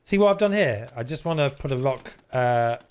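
A man's voice: medium pitch, lively intonation, normal speed, smooth. A man chatting casually while live-streaming a game, reading out viewer comments and swearing now and then. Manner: chatty, swears casually, reads chat aloud.

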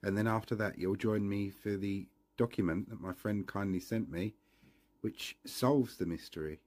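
A man's voice: fairly low pitch, moderate pace, a little mellow. A man hosting a history vlog, narrating to his viewers in a conversational way.

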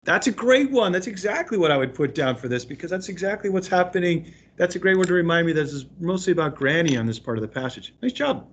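A man's voice: sits high, expressive, fast, few pauses, slight background noise. A man speaking as an elementary school teacher, reading aloud and explaining to a remote class of children in an encouraging way.

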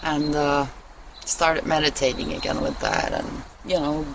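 A woman's voice: low-pitched, big pitch swings, unhurried. A middle-aged woman vlogger chatting casually and confessionally to camera, rambling and candid, with casual swearing. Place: outdoors in a wooden shelter in the woods. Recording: clear outdoor ambient noise.

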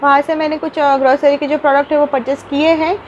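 High-voiced young woman vlogging at home. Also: little pitch movement, fast talker, muffled recording, a little hiss or hum. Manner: chatty, many fillers and restarts, mixes English words into Hindi. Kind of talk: casual unboxing vlog. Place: small room indoors.

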